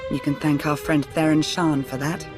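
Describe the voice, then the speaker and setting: An actress playing a composed but urgent intelligence minister in a video game, formal, clipped and businesslike. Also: female voice, low voice, little pitch movement, normal speed, music in the background, close to an everyday voice. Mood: grave, businesslike. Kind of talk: video game cutscene acting.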